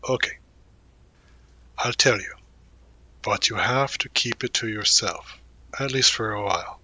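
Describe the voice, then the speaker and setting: Bright-voiced, supportive young college guy, acted by a man. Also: on the low side, no room sound, heavily acted. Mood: sincere, reflective. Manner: casual, reassuring, hesitant pauses and murmured asides. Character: supportive young college man, gently teasing, protective confidant. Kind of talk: intimate audio roleplay, one-sided conversation.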